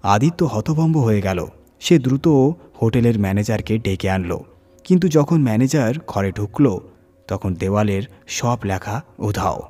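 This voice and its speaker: A man reading a scripted horror story dramatically, with suspenseful pauses and emphasis. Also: lowish voice, lively intonation, warm tone. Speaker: horror story reader and channel host.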